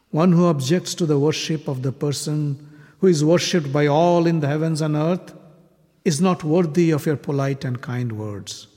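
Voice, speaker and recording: A man voicing a wise, dignified old warrior and grandsire who speaks gravely and reassuringly. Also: fairly high pitch, darkish tone, pitched above the performer's own voice. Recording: long hall reverb.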